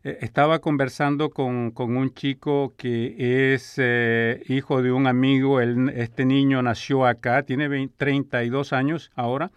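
A man's voice: mid-range voice, clean tone, no echo. A man hosting a radio interview, talking conversationally and telling an anecdote. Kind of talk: casual interview.

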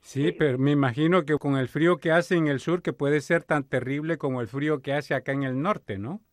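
A man's voice: a little high, normal speed, no room sound, clean studio sound. A man hosting a radio interview, prompting his guest and finishing her sentences.

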